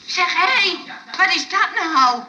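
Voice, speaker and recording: A woman's voice: extremely high pitch, animated, slow pace, background noise, full character voice. An actress playing an indignant, exclamatory woman of the house in a Dutch radio comedy. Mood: indignant scolding. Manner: exclamatory, bossy. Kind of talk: Dutch radio play acting.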